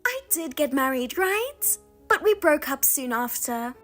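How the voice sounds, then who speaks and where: A woman plays a husband-stealing younger sister in a voiced drama, smug and taunting, her high voice leaping all over as she drags out her words. Music plays underneath her. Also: big pitch swings, fairly bright tone, full character voice. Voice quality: high and sing-song, taunting. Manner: mocking, self-satisfied, drawn-out teasing.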